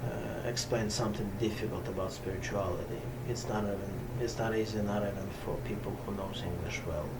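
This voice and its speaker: A middle-aged man, a yoga teacher talking unscripted to camera in a small home ashram. He speaks haltingly, pausing often to find words, with many fillers. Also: lowish voice. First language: Russian. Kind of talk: slow unscripted talk to camera. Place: small hot room in a Brooklyn home ashram. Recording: camera from a distance with steady background noise.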